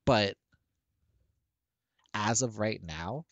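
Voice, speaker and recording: A deep-voiced man speaks slowly and takes his time, restarting his sentences as he walks through a software setup. His headset microphone picks him up dry and close, with no room sound around him. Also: no echo, dull audio. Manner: rambling with frequent restarts and fillers. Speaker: tech tutorial creator. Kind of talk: casual screen-recorded software tutorial.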